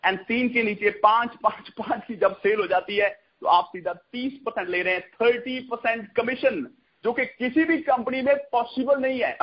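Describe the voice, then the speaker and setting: A man with a husky, rough-edged voice giving a repetitive sales pitch on a conference call, dropping English catchphrases into his talk. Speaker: network marketing promoter hosting a conference call. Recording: dull lo-fi conference call audio.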